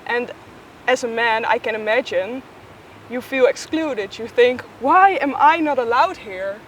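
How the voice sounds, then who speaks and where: A woman's voice: fairly high pitch, unhurried. A woman explaining her work in an interview, searching for words as she goes. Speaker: audio play maker. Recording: faint background noise.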